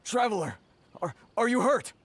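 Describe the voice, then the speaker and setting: An actor playing a timid, aggrieved merchant in a video game, hesitant and flustered. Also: male voice, high-pitched, big pitch swings, normal speed, breathy edge, dry recording, heavily acted. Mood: relieved.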